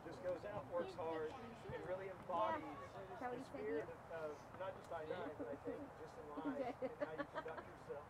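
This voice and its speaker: Guy coaching kids football, high voice up and down a lot, encouraging, crowd all around. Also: wildly swooping pitch, normal speed, vocal fry. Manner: encouraging, addressing young players. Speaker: youth flag football coach. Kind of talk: coaching calls and informal award speech. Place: outdoors on a sports field at night. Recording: outdoor field with loud crowd.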